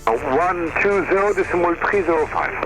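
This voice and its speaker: A man with a French accent works as an air traffic controller. His high-pitched voice comes over aviation radio in procedural phrases. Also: animated, a little fast, music in the background, low-quality recording. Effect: aviation radio transmission.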